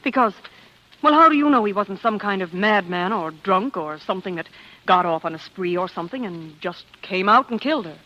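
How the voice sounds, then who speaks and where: Earnest determined wife, vintage radio. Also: female voice, mid-range voice, lively intonation, bright, forceful tone. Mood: hesitant, eager. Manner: dramatic, earnest, quick to bristle. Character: earnest, determined young wife, an actress, female. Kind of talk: 1940s radio drama acting. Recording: dull, lo-fi vintage radio recording.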